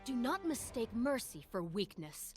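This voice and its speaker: A woman's voice: a little high, big pitch swings, measured pace, a little mellow, faint music behind. An actress playing a noble young warrior queen, resolute and compassionate, in dramatic fantasy game lines.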